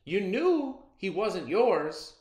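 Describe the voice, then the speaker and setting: A practical farm mother from a storybook, acted by a man. She is concerned, firm and coaxing, with raised pitch and an echoey room sound. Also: big pitch swings, very slow, darkish tone, heavily acted. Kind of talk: storybook character reading. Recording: roomy echo.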